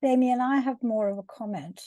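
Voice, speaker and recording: A woman's voice: fairly high pitch, brisk, mellow, no room sound. Older lady on a video call, hesitant, hunting for words, dry close sound. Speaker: coach and supervisor, participant. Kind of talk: spoken comment in an online meeting.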